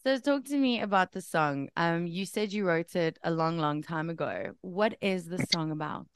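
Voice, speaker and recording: A woman hosts a radio interview in a smooth, clear voice. She is upbeat and playfully teasing, and the studio sound is dry and close. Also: lowish voice, moderate pace, no room sound. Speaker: radio host.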